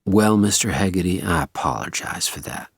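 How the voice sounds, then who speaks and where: A man's voice: deep voice, animated, normal speed, creaky, husky, no room sound, studio quality, exaggerated voice. A man voicing a polite but menacing Southern American heavy, courtly with a steely edge, in a Southern US accent.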